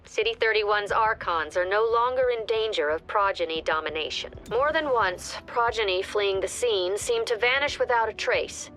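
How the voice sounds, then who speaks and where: An actress playing a mission commander who gives briefings in a crisp, authoritative, matter-of-fact way. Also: female voice, medium pitch, moderate pace, few pauses, fairly bright tone, lightly acted. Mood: commanding. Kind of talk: game briefing voice acting. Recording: game audio with clear music behind.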